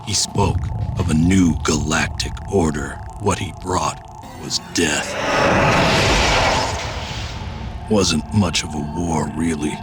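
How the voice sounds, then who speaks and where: An actor playing a bitter, haunted, vengeful ex-soldier turned cyborg racer, narrating a video game story in a grim, brooding, deliberate way. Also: male voice, rumbling low, steady tone, over loud music.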